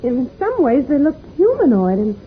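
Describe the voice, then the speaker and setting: An earnest, questioning young heroine in a vintage radio adventure. Her voice is high and concerned, coming through a thin, narrow band with faint hiss. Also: huge pitch swings, moderate pace. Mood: wondering. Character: Pat, worried but sensible young woman adventurer. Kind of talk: vintage radio serial acting. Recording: tinny old radio recording, faint noise.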